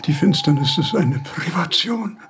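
An actor playing a frail, confused old philosopher, halting and repeating himself in a radio drama. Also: male voice, fairly high pitch, lively intonation, slight background noise. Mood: delirious, frightened. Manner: halting, repeating words, agitated.

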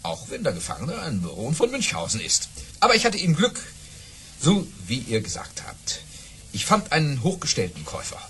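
An actor playing a boastful baron and officer, telling tall tales grandly and dramatically in for a radio play. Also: male voice, fairly high pitch, huge pitch swings, normal speed, bright, forceful tone, a little hiss or hum, heavily acted. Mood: rueful. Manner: grandiose, dramatic, amused by his own boasts. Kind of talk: theatrical radio play storytelling.

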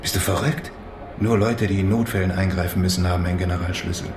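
An actor playing a thief's sceptical, matter-of-fact accomplice in a German radio crime drama, dry and unruffled. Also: male voice, sits low, steady tone, fast talker, noisy background, close to an everyday voice.

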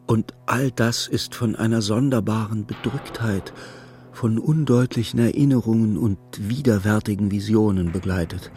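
An actor narrating a literary radio play as a sombre storyteller, measured and dryly ironic. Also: male voice, lowish voice, a little mellow. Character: sombre, wry male storyteller of a feverish literary tale.

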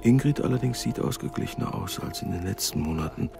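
A deep, gravelly brooding father over music in a radio play. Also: animated, fast. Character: brooding father, troubled and possessive. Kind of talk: radio drama inner monologue.

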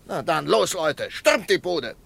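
An actor playing a confident, commanding FBI captain in an old radio crime drama. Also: male voice, fairly high pitch, very animated, vocal fry, very noisy, full character voice. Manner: commanding, brisk. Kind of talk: vintage radio drama acting.

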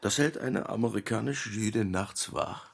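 An actor playing a provocative, opinionated Orthodox husband in a radio play, talkative and needling in a conversational way. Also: male voice, on the low side, normal speed, creaky, slightly rough.

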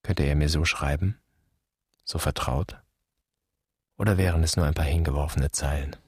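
A man's voice: fairly even intonation, moderate pace, dry recording, clean studio sound. An actor playing a grave, bitter man pondering his dead father in a questioning radio drama monologue. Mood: doubting. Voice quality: husky, very deep.

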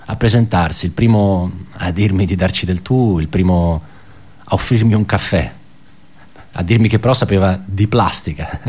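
Radio drama monologue: world-weary, ironic office worker, low-pitched, conversational and self-correcting. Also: male voice, darkish tone, slightly rough. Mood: ironic, reminiscing. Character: wry, bitter male office worker recounting colleagues' suicides. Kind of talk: theatrical radio monologue, reflective storytelling.

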